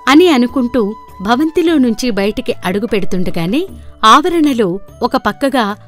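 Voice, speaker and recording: A woman's voice: a little high, animated, a little slow. A woman narrating a scripted folktale as a storyteller. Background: background music.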